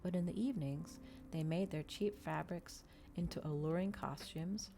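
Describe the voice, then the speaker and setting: Low, creaky woman reading softly for ASMR. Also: moderate pace, a little hiss or hum. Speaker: ASMR streamer.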